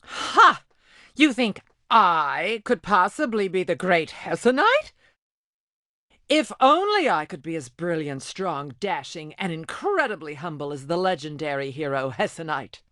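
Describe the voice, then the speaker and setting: A woman's voice: lowish voice, big pitch swings, unhurried, bright, forceful tone, no room sound, studio quality, full character voice. A woman playing a boastful, theatrical alien gem warrior in a cartoon game, with a pompous, grandiose way of speaking. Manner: pompous, grandiose, florid speech. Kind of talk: animated cartoon game voice acting.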